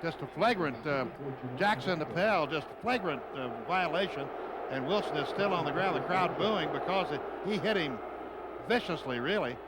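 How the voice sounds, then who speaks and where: An American man doing live radio football play-by-play in clipped phrases, calling the action as it happens. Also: on the high side. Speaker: play-by-play announcer. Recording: radio broadcast over clear stadium noise. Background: stadium noise.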